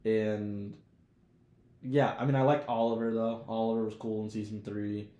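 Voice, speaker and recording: A man's voice: fairly low pitch, level tone, moderate pace, mellow, roomy sound. A man co-hosting a podcast in casual chat, talking informally with slang and swearing. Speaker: podcast co-host. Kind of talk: casual chat, TV show discussion.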